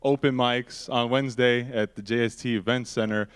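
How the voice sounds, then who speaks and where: Young male talk show host, clear voice. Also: mid-range voice, moderate pace. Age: young adult. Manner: relaxed slangy phrasing. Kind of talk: casual late-night interview hosting. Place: indoor event stage. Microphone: handheld stage microphone.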